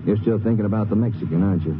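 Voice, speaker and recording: An actor playing a folksy, easygoing Texas Ranger sidekick in a conversational 1950s radio drama style. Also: male voice, on the low side, rapid-fire, background noise, lightly acted. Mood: thoughtful. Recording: muffled 1950s radio recording, loud music.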